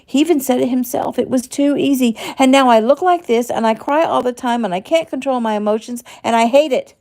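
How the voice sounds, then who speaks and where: A woman voicing a traumatized young woman who shouts in rage and breaks toward tears. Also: fairly high pitch, moderate pace, gravelly, voice pushed up. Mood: furious.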